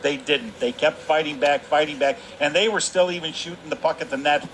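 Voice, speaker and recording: A man's voice: on the high side, steady tone, brisk. A man giving conversational color commentary at a hockey game, repeating phrases for emphasis. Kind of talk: conversational sports analysis. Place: ice hockey arena. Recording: faint arena noise behind the voice.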